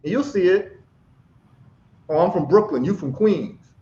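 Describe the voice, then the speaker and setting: A man's voice: sits high, lively intonation, measured pace, dark tone, a little hiss or hum. A man from Ghana, a guest in a casual chat, talking with passion and repeating phrases for emphasis. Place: live stream call. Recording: echoey, dull lo-fi stream audio.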